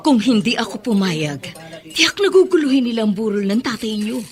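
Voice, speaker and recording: Woman as a high-voiced, meek grieving mother. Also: animated, moderate pace, natural voice. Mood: resigned. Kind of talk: melodramatic radio drama acting.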